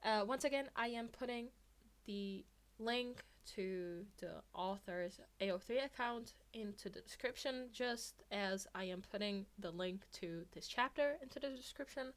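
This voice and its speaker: A woman's voice: mid-range voice, unhurried. A woman narrating fan fiction as an amateur podfic reader.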